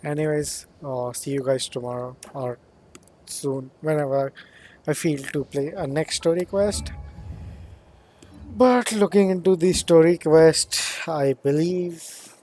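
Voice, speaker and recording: A man's voice: a little high, fairly even intonation, slow, a little mellow, slight background noise. A man doing casual gameplay commentary as a streamer, offhand and thinking aloud. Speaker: gaming streamer.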